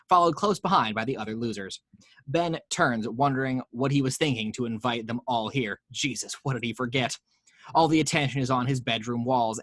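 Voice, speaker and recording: A man's voice: mid-range voice, fairly bright tone, no room sound. A man narrating the action lines of a screenplay table read, with wry, playful asides.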